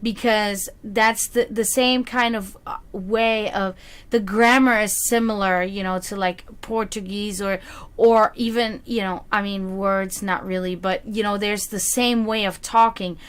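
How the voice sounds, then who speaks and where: A woman whose first language is German talks in a loose, rambling way, with her pitch sitting in the middle of the range. She gives a casual card reading on a livestream and answers viewers as she goes. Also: fairly even intonation, measured pace, smooth. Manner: chatty, rambling, reads chat aloud. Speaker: psychic reader streamer.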